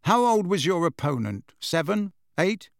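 A man's voice: high voice, big pitch swings, measured pace, no room sound, clean studio sound, voice pushed up, exaggerated voice. A man voicing a sarcastic, defiant teenage boy wizard with a taunting manner in an audiobook story.

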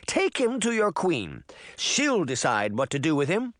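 A man's voice: a little high, huge pitch swings, a little slow, fairly bright tone, no echo, voice pushed up, exaggerated voice. A stern old grandmother as a male narrator plays her from a storybook, firm and composed. The voice is lifted above his own and moves expressively, recorded dry. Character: firm, calm old grandmother, Granny Relda. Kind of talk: audiobook character voice.

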